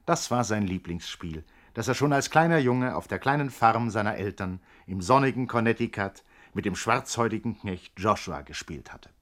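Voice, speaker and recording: A man giving deliberate, mock-grave scripted narration for a 1960s radio crime drama. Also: fairly low pitch, expressive, a bit bright. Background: music.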